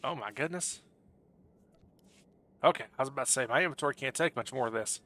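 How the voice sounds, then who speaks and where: A man's voice: medium pitch, a little fast, sharp tone. A man livestreaming a game, chatting casually in a friendly, rambling way as he thinks aloud. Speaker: game streamer. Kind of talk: casual livestream chat over gameplay.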